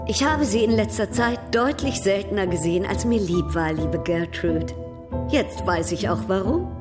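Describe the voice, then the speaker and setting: An actress playing a worldly, proud baroness in a German radio drama, speaking with polished irony. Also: female voice, medium pitch, expressive, moderate pace, over music, close to an everyday voice. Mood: gracious, poised.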